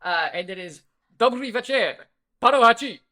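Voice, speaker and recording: Male podcast host, bright forceful voice, loose animated rambling chat, salty language. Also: very high-pitched, moderate pace. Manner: rambling, animated storyteller, swears freely. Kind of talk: casual chat.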